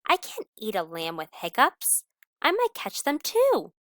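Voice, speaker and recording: A woman voicing an exasperated but soft-hearted old male wolf in a storybook, with dramatic, groaning delivery. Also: high voice, huge pitch swings, unhurried, no room sound, clean studio sound, pitched above the performer's own voice, heavily acted. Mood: hungry, fussy. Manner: dramatic, groaning and howling exclamations.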